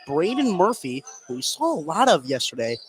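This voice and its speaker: A man's voice: on the high side, sing-song, moderate pace. A man calling live basketball play-by-play as the announcer. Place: indoor basketball gym, courtside. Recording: noisy gym ambience, bouncing basketball. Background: game noise, ball bouncing.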